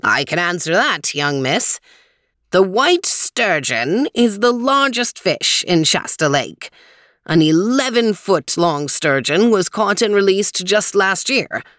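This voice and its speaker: A woman's voice: mid-range voice, very animated, slow pace, fairly bright tone, no room sound, clean studio sound. A woman doing a chatty old male inventor. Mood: explaining. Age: older. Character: old male inventor on a screen, cheerful know-it-all.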